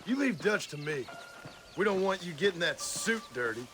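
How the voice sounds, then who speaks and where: An actor playing a brooding, defiant outlaw cowboy in a western video game, terse, dry and bitterly sarcastic. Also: male voice, high voice, big pitch swings, normal speed, over music. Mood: grim, defiant.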